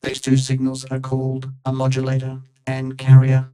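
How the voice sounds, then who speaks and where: A man's voice: medium pitch, completely flat delivery, normal speed, over music. A man reading scripted tutorial narration, his voice processed through a vocoder into a synthetic robotic sound. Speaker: tutorial presenter. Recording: echoey, distant, over loud synth tones.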